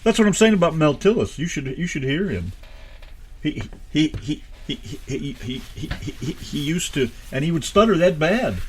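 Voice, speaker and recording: An American man co-hosting a radio show, chatting casually and joking, his voice jumping high and low. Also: sing-song, normal speed, a little hiss or hum. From United States. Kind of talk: casual banter.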